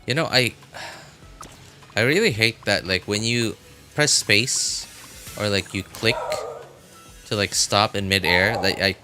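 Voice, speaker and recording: A man doing casual live game commentary for his viewers, rambling and repeating himself as he thinks aloud. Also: lowish voice. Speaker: game livestreamer.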